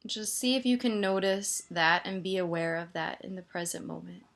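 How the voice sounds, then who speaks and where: A woman meditation teacher gently guiding a body scan, soothing and leaving pauses between her instructions. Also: on the low side, fairly even intonation, gravelly.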